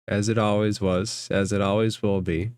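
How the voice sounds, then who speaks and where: A man's voice: on the low side, little pitch movement, normal speed, a little mellow, no echo, clean studio sound. A man acting as game master, narrating a fantasy roleplaying game in formal phrases and putting questions to the players.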